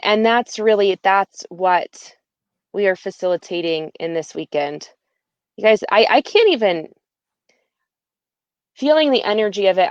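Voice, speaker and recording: A woman's voice: medium pitch, no echo. Woman host, eager and emphatic, dull lo-fi sound. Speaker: podcast host. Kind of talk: casual spiritual conversation.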